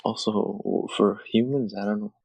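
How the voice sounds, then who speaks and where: A young man with a low, gravelly voice talks loosely on a call. He rambles, swears and jokes his way through crude banter. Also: fairly even intonation, measured pace, a little mellow. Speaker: podcast host. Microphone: remote call over shaky wi-fi.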